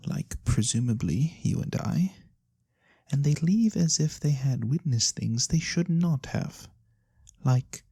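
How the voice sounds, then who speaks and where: An ASMR roleplayer voicing a sly, mysterious lorekeeper who tells fantasy tales for coin, soft-spoken, breathy and theatrical, with deliberate pauses. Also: medium pitch, dry recording, clean studio sound, exaggerated voice. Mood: teasing, ominous. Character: sly mysterious nonhuman lorekeeper, fortune-telling storyteller for coin.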